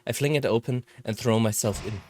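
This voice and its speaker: A man reading a visual novel aloud in a halting, slightly theatrical way. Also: fairly low pitch, darkish tone. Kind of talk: expressive read-aloud narration of a visual novel.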